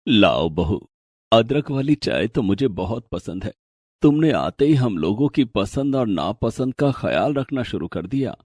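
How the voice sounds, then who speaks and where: An actor playing a caring elderly father-in-law, gently reasoning, in an animated moral story. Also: fairly low pitch, unhurried, no room sound, clean studio sound. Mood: pleased.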